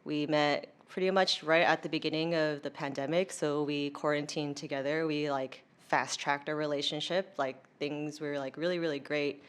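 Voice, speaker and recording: A young American woman with a notably low voice speaks as a guest in a relaxed interview. Her phrasing is informal and chatty, full of "like" as she tells personal stories. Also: moderate pace, few pauses, smooth. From United States. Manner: chatty, informal, heavy use of "like". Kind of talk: casual conversational interview.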